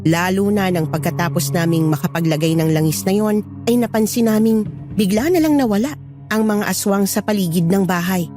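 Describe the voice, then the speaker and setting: A woman's voice: medium pitch, brisk, music in the background. A woman narrating a scripted first-person Filipino horror story.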